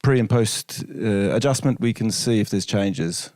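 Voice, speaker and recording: An Australian-accented man answering interview questions casually, with measured, hesitant phrasing and dry humour. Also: on the low side, darkish tone, dry recording. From Melbourne, Australia. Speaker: interview guest, chiropractor and researcher.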